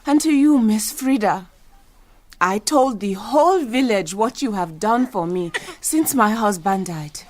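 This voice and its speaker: A woman's voice: mid-range voice, lively intonation, a little slow, a little mellow, lightly acted. An actress playing a humble, devout widowed village mother in an audio drama, speaking with earnest gratitude. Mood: grateful, devout.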